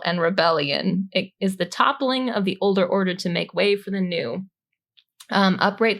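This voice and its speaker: A woman's voice: mid-range voice, fairly bright tone. Informal, jokey woman podcast host. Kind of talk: casual chat explaining tarot cards from notes.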